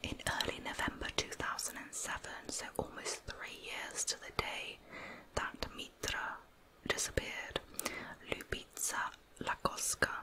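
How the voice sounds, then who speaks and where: Whispering woman, breathy ASMR narration. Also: measured pace, low-quality recording. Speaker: ASMR true crime storyteller.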